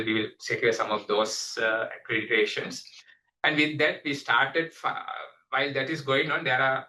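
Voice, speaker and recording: A man with a Sri Lankan accent answering on an earnings call as a business executive, halting and repeating phrases as he goes. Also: mid-range voice, bright, forceful tone, distant mic, rough recording.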